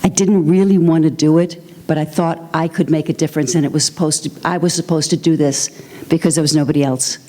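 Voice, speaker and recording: A woman speaking as a council candidate at a forum, deliberate and emphatic in short sentences. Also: sits low, fairly even intonation, mellow. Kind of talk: forum closing statement.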